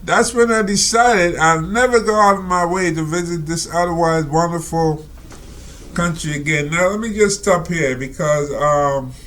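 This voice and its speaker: A man reading out and commenting on a reader's letter, talking slowly and rambling with many fillers and asides. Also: a little high, a bit bright. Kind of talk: casual spoken commentary.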